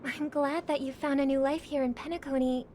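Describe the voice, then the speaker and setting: An actress playing a kind, graceful young singer in a video game, speaking politely and with composed sincerity. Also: female voice, high-pitched, steady tone, a little fast, a little hiss or hum, close to an everyday voice. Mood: modest, kind. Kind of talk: video game cutscene acting. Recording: loud music under the voice.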